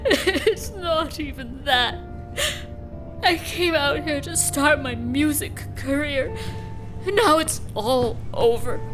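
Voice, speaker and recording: Downcast young musician, very high voice, defiant streak, radio drama, music behind. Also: female voice, slow pace.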